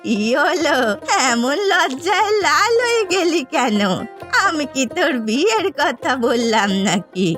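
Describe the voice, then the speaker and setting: A woman puts on a gossipy old village granny for a cartoon tale. The voice is high and drawn out, teasing and nosy, over soft music. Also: sing-song, unhurried, exaggerated voice. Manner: drawling, teasing. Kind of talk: dubbed cartoon story acting.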